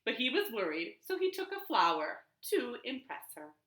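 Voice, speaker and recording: A woman hosting a children's storytime, reading aloud and talking in a deliberate, sing-song way, recorded at home in a living room. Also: a little high, wildly swooping pitch, fairly bright tone. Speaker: library storytime host. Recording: roomy, echoey home recording.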